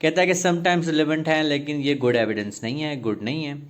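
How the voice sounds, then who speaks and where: Higher-pitched Pakistani man tutoring. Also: steady tone, normal speed. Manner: code-switches into English legal terms, paraphrasing step by step. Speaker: law exam tutor. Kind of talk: explanatory lesson, reading questions and options aloud.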